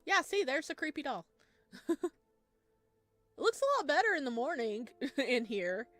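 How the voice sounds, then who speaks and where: A woman's voice: high voice, very animated, measured pace, pushed and bright, no room sound. A woman playing a visual novel, reading its narration aloud and adding informal, giggly asides of her own. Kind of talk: game playthrough, reading aloud with chatty reactions. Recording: music behind the voice. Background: game music.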